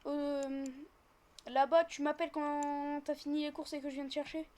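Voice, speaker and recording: High-pitched female gaming host, chatty, improvises roleplay and talks through every move. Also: level tone, normal speed, darkish tone. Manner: chatty, narrates own actions as they happen. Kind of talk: casual improvised roleplay commentary while playing a game.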